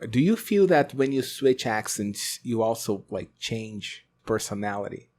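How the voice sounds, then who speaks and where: A man's voice: lowish voice, expressive, unhurried, darkish tone. A man whose first language is Portuguese, hosting a podcast, asking hesitant, encouraging questions. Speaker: podcast host. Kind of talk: casual interview chat.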